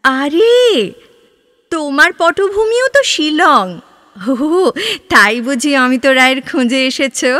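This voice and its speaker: High-voiced, ardent young romantic heroine. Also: female voice, expressive, takes it slowly, bright, forceful tone, no room sound, clean studio sound, heavily acted. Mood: curious, flustered. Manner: breathy exclamations, hesitant repeats, theatrical emoting. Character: ardent, wistful, romantic young woman from a literary play. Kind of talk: Bengali audio drama acting. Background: background music.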